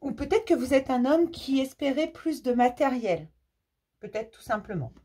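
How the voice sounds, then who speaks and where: A French woman with a cold, doing a casual tarot card reading for her viewers, gentle and hesitant, with many fillers and restarts. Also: high-pitched, expressive, moderate pace, slightly rough. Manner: affectionate toward viewers. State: has a cold.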